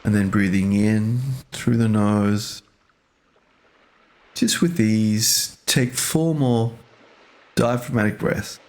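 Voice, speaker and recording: A man leading a guided meditation as a teacher, speaking gently and unhurriedly in short phrases with pauses. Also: on the low side, steady tone.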